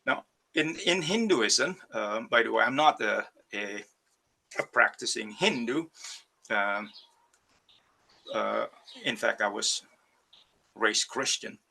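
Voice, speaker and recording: Slow, gravelly man from Indonesia. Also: fairly low pitch, expressive, bright, forceful tone, a little hiss or hum. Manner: hesitant, many fillers and restarts. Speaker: ceramic artist. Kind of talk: virtual artist talk presenting slides. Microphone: video call.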